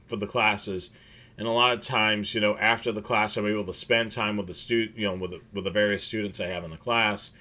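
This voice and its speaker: A man's voice: fairly low pitch, pushed and bright. A man hosting a casual livestream chat, rambling with many fillers and restarts. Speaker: scanner show host.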